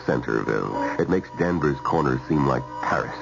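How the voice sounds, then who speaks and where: An actor playing an earnest, modest married businessman with a gravelly voice and American accent, in radio drama style. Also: a bass voice, lively intonation, moderate pace, full character voice. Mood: haunted, intense. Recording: muffled vintage radio with music.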